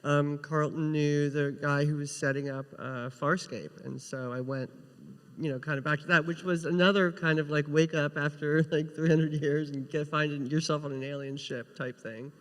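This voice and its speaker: Higher-voiced man telling anecdotes in an echoing hall. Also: little pitch movement, moderate pace. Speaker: TV writer, panel guest. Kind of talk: casual anecdotal panel talk. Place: large indoor convention panel room. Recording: echoey hall with long reverb.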